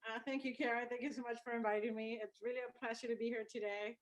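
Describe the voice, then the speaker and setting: A woman's voice: a little high, little pitch movement, fast talker. A woman from El Salvador, a student services director, politely introducing herself on an online event. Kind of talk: self-introduction.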